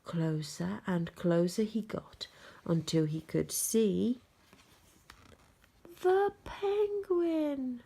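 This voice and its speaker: A woman storyteller reading a children's bedtime story aloud, gently and expressively, with dramatic pauses and hushed phrase endings. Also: mid-range voice, expressive, vocal fry, husky.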